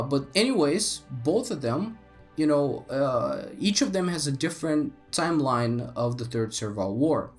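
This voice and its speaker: A young man, a native Bulgarian speaker, talking through history on his solo podcast, thoughtful with many fillers and restarts. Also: mid-range voice. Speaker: podcast host.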